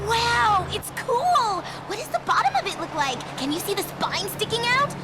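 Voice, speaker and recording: A woman playing a gleeful, hyperactive, foul-mouthed teenage little sister in a video game, gushing loudly. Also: very high voice, sing-song, moderate pace, exaggerated voice. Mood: gleeful, grossed out. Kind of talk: exaggerated video game acting. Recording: game audio with noisy effects.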